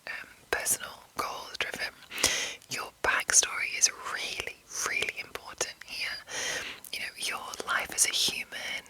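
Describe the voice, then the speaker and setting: Lady whispering asmr style, breathy n bright, just rambling along explaining stuff informally, no rush. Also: poor audio. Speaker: ASMR host.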